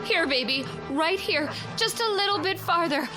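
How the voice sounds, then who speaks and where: High-voiced desperate mother. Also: lively intonation, moderate pace. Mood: coaxing. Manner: urgent, pleading. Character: desperate, anxious young mother coaxing her lost daughter. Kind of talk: radio drama acting. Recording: music bed behind voice.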